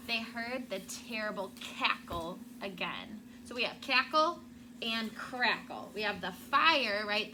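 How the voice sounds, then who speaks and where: A woman teacher reading a children's book aloud and prompting pupils with questions. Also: medium pitch. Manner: prompting, checks understanding with questions. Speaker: elementary school teacher. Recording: clearly noisy room sound.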